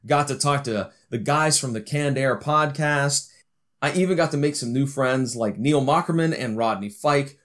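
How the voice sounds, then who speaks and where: Chatty guy hosting his podcast, mid pitch, joking around. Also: fairly bright tone. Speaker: podcast host. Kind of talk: casual podcast chat. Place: busy indoor expo hall.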